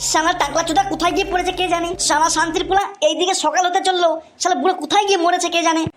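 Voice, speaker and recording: A woman voicing a crude, excitable young village son in a comic cartoon, melodramatic and pleading. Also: very high voice, racing. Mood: irritated worry. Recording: music behind the voice.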